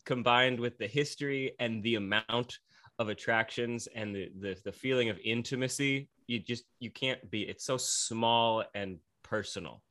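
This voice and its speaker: A man's voice: medium pitch, moderate pace, pushed and bright. A young man from Pennsylvania with a Pennsylvania accent, chatting eagerly as a podcast guest. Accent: Northeastern Pennsylvania. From Pennsylvania, USA. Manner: eager, chatty, frequent fillers. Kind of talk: casual interview chat.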